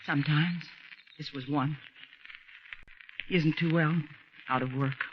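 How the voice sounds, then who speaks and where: A woman's voice: deep voice, steady tone, measured pace. An actress playing a cool, bitter, scheming former girlfriend in an old radio crime drama. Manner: clipped, cutting. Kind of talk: 1940s radio noir acting. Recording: noisy, muffled old radio recording.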